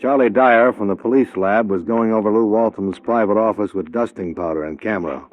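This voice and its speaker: Hard-boiled male investigator, played by an actor, American, low-pitched, wry and persistent, clipped 1950s radio detective delivery. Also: brisk, phone-quality sound, soft background music, natural voice. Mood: wry narrating.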